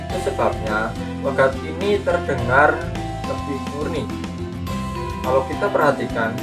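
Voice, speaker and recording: Male podcast host, mid-pitched, chatty scripted narration, a bit off-mic, guitar music playing clearly behind. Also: expressive, a little slow. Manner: chatty, addresses listeners directly. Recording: distant mic with music underneath.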